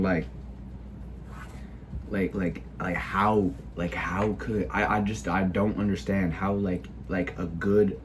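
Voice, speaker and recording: A teenage boy speaks in a low register, audibly sick. He talks haltingly and keeps restarting his sentences with filler words. A faint background noise sits under his voice. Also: darkish tone. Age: teens. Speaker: video creator. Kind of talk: casual opinion monologue.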